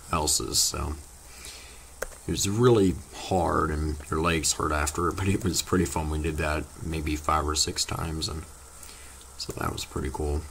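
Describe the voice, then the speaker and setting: A teenage boy, slightly hoarse from losing his voice, chatting casually to his viewers in a rambling, halting way full of fillers. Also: a bass voice, a bit bright, a little hiss or hum. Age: teens. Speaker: vlogger. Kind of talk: casual vlog chat to viewers.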